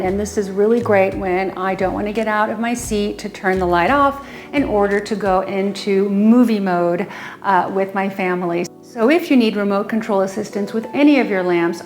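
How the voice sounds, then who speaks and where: A woman talks excitedly through her favorite home finds, at a middling pitch, full of ums and little gushes of praise. Faint music plays softly behind her. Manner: enthusiastic, gushing, many ums. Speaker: home design vlogger. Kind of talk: casual product demo to camera. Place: her home, closet and kitchen.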